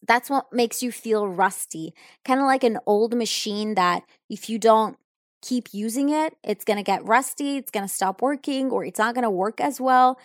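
A young woman speaks English slowly and deliberately, taking her time over each answer. She sounds thoughtful and a little hesitant, filling gaps with soft ums. Her voice is close and dry, with no room sound around it. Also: medium pitch, no echo. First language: Italian. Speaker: English learner answering questions. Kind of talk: unscripted answers in an English lesson.

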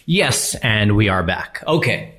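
Comedian host with a low voice, loose and chatty, calls people bro. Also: male voice, very animated, slow, sharp tone. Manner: chatty and slangy, calls listener bro and dude. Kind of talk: casual advice chat answering a listener.

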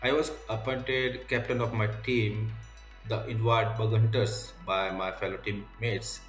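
A man's voice: fairly low pitch, flat delivery, measured pace, reverberant, faint music behind. A man from India with an Indian accent, talking casually as an interview guest. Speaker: podcast guest, software tester.

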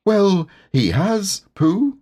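A man's voice: high-pitched, sing-song, drawn-out words, warm tone, voice pushed up, exaggerated voice. A man voicing a small, timid and anxious piglet in a storybook reading, with a nervous manner.

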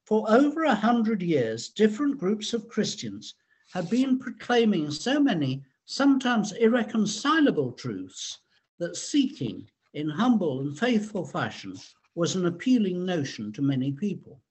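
A man reading a scripted history talk in a measured, explanatory way. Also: sits high, wildly swooping pitch, breathy edge. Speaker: researcher and reader of a local history talk.

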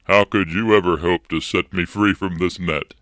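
A man voicing a huge, proud male lion who roars and booms. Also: fairly even intonation, moderate pace, a bit bright, dry recording. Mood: scoffing. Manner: booming, roaring.

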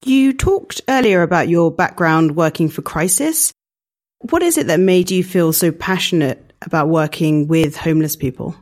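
A woman's voice: fairly low pitch, expressive, moderate pace, a little mellow. A woman interviewer asking polite, plain questions.

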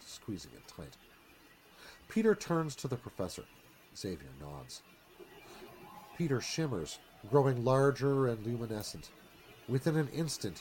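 A man's voice: medium pitch, a little mellow, slight background noise, low-quality recording. A man narrating a screenplay dramatically in a live radio theatre reading.

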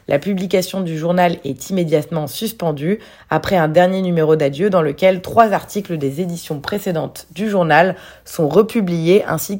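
A woman's voice: on the low side, a little mellow. A young woman, a native French speaker, giving casual, opinionated film reviews with occasional hesitations.

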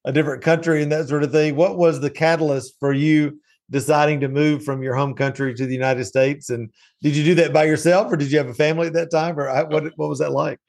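A man's voice: on the high side, little pitch movement, fast, a little mellow. A man hosting a podcast, upbeat and friendly, leading the interview with his guest. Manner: upbeat, friendly, uses fillers. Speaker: podcast host.

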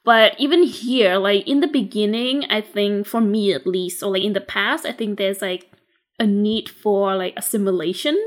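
A woman's voice: mid-range voice, normal speed. A young woman from Vietnam, co-hosting a podcast in casual chat, talking loosely with many fillers and restarts. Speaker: podcast co-host.

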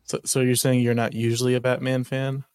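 A man's voice: mid-range voice, little pitch movement, brisk, darkish tone, clean tone. A man co-hosting a podcast in casual chat, laid back with dry wisecracks. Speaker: podcast co-host.

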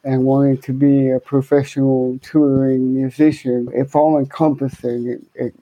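A man with a speech impairment that slurs his words, answering interview questions conversationally as a guest musician, in a deliberate way with repeated words. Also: medium pitch, little pitch movement, warm tone, dry recording, rough recording. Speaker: podcast guest, guitarist and musician.

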